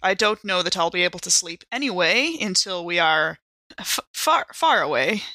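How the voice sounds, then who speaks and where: A woman's voice: lowish voice, expressive, normal speed, sharp tone, no room sound. A woman improvising in character as a player in a role-playing game, wry and a little hesitant. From San Francisco Bay Area, said in character.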